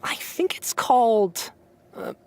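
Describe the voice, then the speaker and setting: A woman's voice: takes it slowly, natural voice. A woman voicing a friendly, easygoing, slightly bashful young person in a video game, chatty and hesitant.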